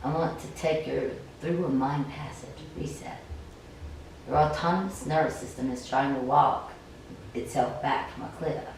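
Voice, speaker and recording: A woman cold-reading fantasy narration from a story game, a little halting as she goes. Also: very deep voice, gravelly. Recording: very echoey room, distant mic, faint noise.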